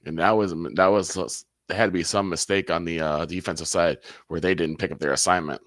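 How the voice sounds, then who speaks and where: Deep-voiced male color commentator. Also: steady tone, a little fast, fairly bright tone, dry recording, studio quality. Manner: short interjections and brief analysis, finishes partner's sentences. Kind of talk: casual game analysis.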